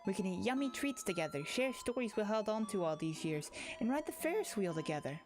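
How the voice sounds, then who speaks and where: A woman's voice: mid-range voice, sing-song, a little fast, natural voice. A woman voicing a timid, earnest and unsettlingly devoted teenage monster girl in a visual novel. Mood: fervent, devoted. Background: game music.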